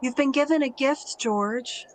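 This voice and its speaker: A woman playing a gentle, naive guardian angel in a radio play, explaining things patiently and plainly. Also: sits high, measured pace, a little hiss or hum, low-quality recording. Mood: patient, grave. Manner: patient, matter-of-fact explaining. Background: music.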